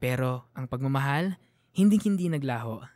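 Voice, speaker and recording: Low-voiced woman narrating over piano music. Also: lively intonation, moderate pace. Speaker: radio DJ and storyteller. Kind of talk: scripted sentimental story narration for radio. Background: piano music under the voice.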